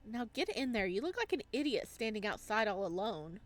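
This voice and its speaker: A woman's voice: medium pitch, sing-song, fairly quick, lightly acted. A woman voicing a teasing, motherly young sister in a visual novel, playful and bossy. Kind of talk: visual novel character read-aloud.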